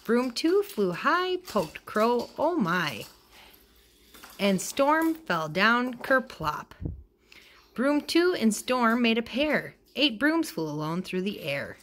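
Woman, children's storybook read-aloud, slow pace, animated intonation, expressive delivery. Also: a little high, a little hiss or hum. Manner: expressive, acts out character dialogue. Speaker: storybook reader.

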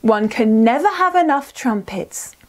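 High, animated female children's storyteller. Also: measured pace, soft background music. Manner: enunciated, encouraging, asks listeners questions. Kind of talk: scripted children's storytelling and teaching.

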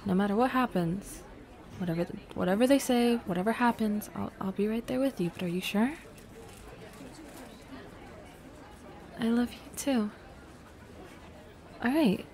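Woman acting a slow-talking, playful affectionate girlfriend. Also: medium pitch, a little mellow, a little hiss or hum. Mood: concerned, supportive. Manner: teasing, giggly, hesitant with stammered restarts. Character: playful, affectionate young secret girlfriend on a cafe date. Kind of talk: intimate girlfriend roleplay audio, acted as casual chat.

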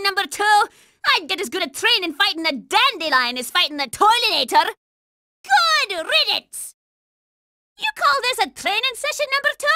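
A bossy little girl soldier, super high n sharp, scolding, cartoon game. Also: dry recording, clean studio sound, heavily acted. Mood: scornful, irritated. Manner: scolding, brash, sarcastic. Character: bossy, contemptuous hot-tempered young girl soldier. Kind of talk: cartoon video game voice acting.

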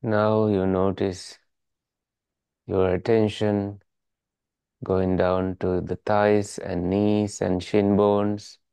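A meditation teacher leading a guided relaxation in calm, measured, soothing instructions. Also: deep voice, vocal fry, clean tone, no echo.

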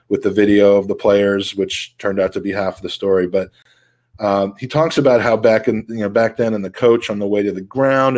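Male podcast host, middle-aged, fairly low voice, casual conversational interviewing over video call. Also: a little mellow. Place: indoor room, on a video call.

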